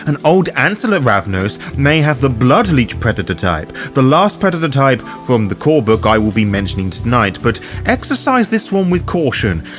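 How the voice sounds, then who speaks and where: A man hosting a roleplaying game guide, reading scripted narration and addressing the listener directly. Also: fairly high pitch. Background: background music.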